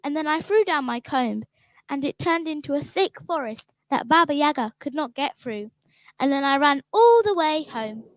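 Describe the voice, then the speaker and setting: A young girl speaks in a high, eager voice, excited and earnest. She tells her story in a rush. The sound is dry and close, as in a radio play. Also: moderate pace, no room sound. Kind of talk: children's radio drama.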